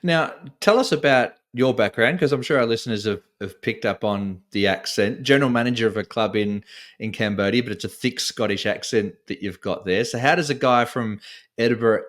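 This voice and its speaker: Male podcast host, lower voice, wordy questions with restarts. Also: a bit bright. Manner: long rambling questions with restarts.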